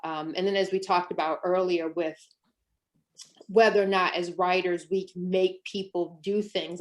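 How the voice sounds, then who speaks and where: A middle-aged woman romance author answering interview questions in a reflective way, often hedging with "um" and "sort of". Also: lowish voice. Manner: reflective, many ums and sort ofs.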